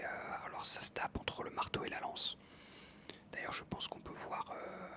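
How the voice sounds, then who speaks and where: An ASMR creator whispering casually into a close microphone over gameplay, rambling with frequent hesitations. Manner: soft whisper, casual and rambling with many fillers.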